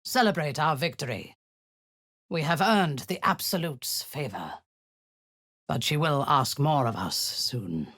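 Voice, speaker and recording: An actress voicing a ruthless drow woman commander who leads zealous raids, speaking in a cold, commanding voice with a husky edge that often sinks into a whisper. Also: deep voice, lively intonation, measured pace, a bit bright, no room sound, studio quality, exaggerated voice. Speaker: game character. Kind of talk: video game voice lines.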